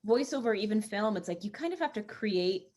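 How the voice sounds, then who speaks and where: A woman's voice: medium pitch, expressive, brisk, darkish tone. A woman, an actress, reflecting tentatively in a casual group discussion.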